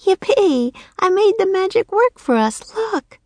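A woman putting on a spirited young girl with a high, bouncy voice, eager and daring. She sounds close and dry, with no room around her. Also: expressive, moderate pace, no room sound. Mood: excited. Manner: eager, bright, croaking. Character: bold cheerful young girl, briefly a raven.

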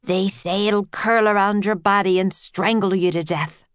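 A woman's voice: mid-range voice, fairly even intonation, normal speed, no room sound, lightly acted. A superstitious old seaman, as a woman reader puts him on for a children's story. He speaks dramatically in archaic sailor talk, as if every word is a warning, in a dry recording. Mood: dramatic warning. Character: superstitious old-time sailor man, dramatic teller of monster tales. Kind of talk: children's audiobook character voice.

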